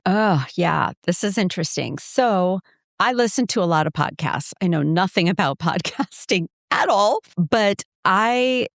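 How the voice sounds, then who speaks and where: A woman co-hosting a casual podcast conversation, effusive and bubbly, gushing with warmth about her partner. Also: on the low side, lively intonation, a little slow, dry recording, studio quality. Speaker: podcast co-host.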